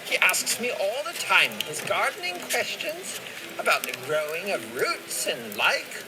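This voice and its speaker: Old hobbit gardener, folksy, opinionated, very swoopy intonation, unhurried, audio play. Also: male voice, sits high, wildly swooping pitch, noisy background, full character voice. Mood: fond, proud. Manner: rambling, gossipy, rustic phrasing. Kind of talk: dramatized audio play dialogue.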